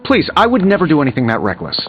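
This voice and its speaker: A cocky tech billionaire superhero for a game, highish swoopy voice, sarcastic quips, music under it, bit muffled. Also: male voice, huge pitch swings, racing. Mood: exasperated, quippy. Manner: glib, sarcastic, quippy banter. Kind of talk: video game voice acting.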